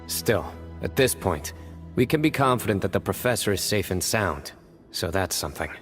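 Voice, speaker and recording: A man playing a serious, wary and composed adventurer in a video game, speaking in a measured way. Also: low voice, expressive, soft background music. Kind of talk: video game character acting.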